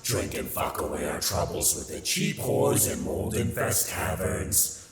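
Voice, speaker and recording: Man acting as a sly male demon, low gravelly voice, theatrical, mocking, hedonistic, ASMR roleplay. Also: heavily acted. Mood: conspiratorial. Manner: theatrical, sardonic, grandiose wording. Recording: echoey reverberant sound, loud background ambience.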